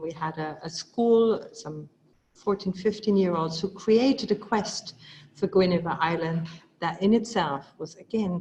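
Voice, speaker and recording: A woman's voice: lowish voice, a little mellow, rough recording. Enthusiastic woman hosting a webinar. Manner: enthusiastic, many fillers and restarts. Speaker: webinar host. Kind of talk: live webinar presentation. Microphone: video call.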